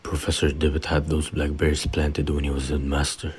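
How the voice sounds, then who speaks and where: A man playing a calm, sly, courteous elderly wizard headmaster in an audio drama, deep and husky, with unhurried politeness. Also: crowd noise. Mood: serene, courteous. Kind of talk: fan audio drama acting.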